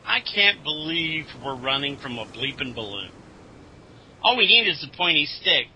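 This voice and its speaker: A man's voice: fairly high pitch, sing-song, moderate pace, sharp tone, full character voice, poor audio. A man playing a prim, wisecracking captive in a parody radio drama. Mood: prim, wry. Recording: faint background noise.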